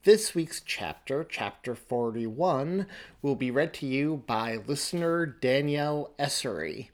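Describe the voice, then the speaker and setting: A man hosting a podcast delivers an episode introduction. His voice sits at an average pitch for a man, and he presents in a scripted announcing style. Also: expressive, a little slow. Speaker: podcast host.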